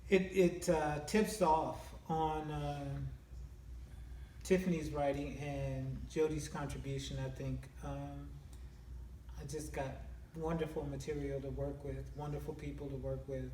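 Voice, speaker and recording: Male interviewee, very unhurried, halting and measured, casual tone, reverberant room. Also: fairly high pitch, level tone, a little mellow. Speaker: theatre production member being interviewed. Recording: echoey, distant mic, faint room noise.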